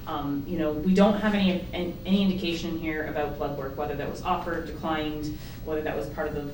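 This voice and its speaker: Board member, halting, explanatory, distant, echoey room, faint noise. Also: mid-range voice, steady tone. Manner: hesitant, restarts, explains veterinary medicine. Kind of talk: board meeting discussion. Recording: roomy, distant meeting-room mic.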